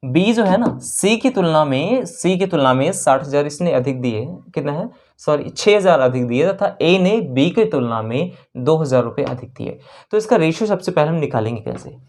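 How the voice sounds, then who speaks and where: Indian lady maths teacher, lowish voice, goes step by step, repeats stuff and asks little check questions. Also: animated, normal speed, a little mellow. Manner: explanatory, repeats phrases and asks herself rhetorical questions. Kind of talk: step-by-step exam maths lesson.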